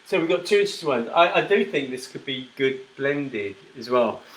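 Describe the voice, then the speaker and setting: A man's voice: medium pitch, animated, fairly quick, rough recording. A middle-aged man hosting a casual live stream, chatting with viewers and reading their comments aloud. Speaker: host of a live whisky show.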